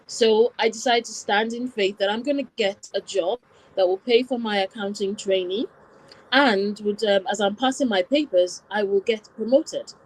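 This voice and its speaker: A woman's voice: mid-range voice, moderate pace, low-quality recording. Woman from Nigeria telling her story. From born in Wales, raised in Nigeria from about nine. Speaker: interview guest. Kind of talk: personal storytelling in an interview.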